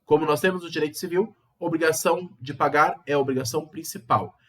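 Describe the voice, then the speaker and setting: A Brazilian man teaching law in an online video lesson, hoarse from a cold, explaining step by step and repeating key terms with rising emphasis. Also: a little high, fast talker, a bit bright. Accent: Brazilian Portuguese.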